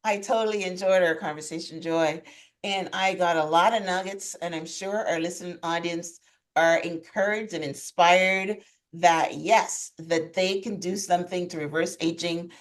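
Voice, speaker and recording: A woman's voice: fairly low pitch, fairly even intonation, normal speed. A woman from Guyana hosting a podcast, chatting casually and encouraging her guest. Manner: warm encouraging interjections, laughs often. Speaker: podcast host. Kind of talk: casual interview chat.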